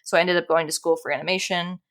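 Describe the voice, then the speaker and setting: A woman with a slightly low speaking voice talks as a podcast guest. She is chatty and informal, with a self-deprecating, easygoing conversational way of explaining things. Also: steady tone, fast talker, fairly bright tone. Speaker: podcast guest, animator and dungeon master. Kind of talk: casual interview chat.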